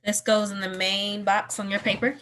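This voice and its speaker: A woman teacher guiding a lesson step by step, deliberately repeating her questions and instructions. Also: medium pitch, flat delivery, fairly bright tone, slight background noise. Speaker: school teacher.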